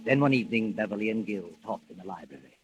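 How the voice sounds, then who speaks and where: A man's voice: lowish voice, fast, rough recording. A man narrating a 1940s radio thriller in a formal, dramatic announcer style. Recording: old-time radio broadcast recording. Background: dramatic music.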